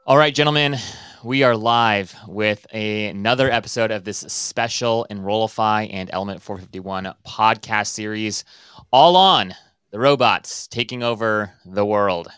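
A man's voice: fairly low pitch, measured pace, a bit bright, clean tone, no room sound, clean studio sound. A man hosting a podcast, introducing the series and chatting with a guest in an upbeat, rambling way with many restarts. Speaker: podcast host. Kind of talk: casual podcast interview.

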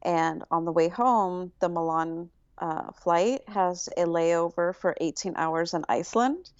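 A woman chatting as a podcast guest, upbeat and easily excited as she talks. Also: on the low side, clean tone, dry recording, lo-fi sound. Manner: upbeat, bubbly, quick to get excited. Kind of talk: casual interview chat.

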